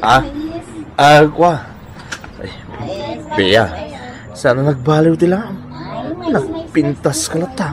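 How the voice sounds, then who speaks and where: Animated, hapless Ilocano husband. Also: male voice, a little high, takes it slowly, pauses often, crowd noise. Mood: smitten, surprised. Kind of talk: Ilocano radio comedy drama acting.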